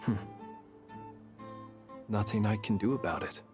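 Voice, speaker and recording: An actor voicing an unflappable, wry and courteous gentleman adventurer in a video game. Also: lowish voice, lightly acted. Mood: nonchalant. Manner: measured, courteous, drily nonchalant. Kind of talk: English video game voice acting. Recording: game audio with faint music.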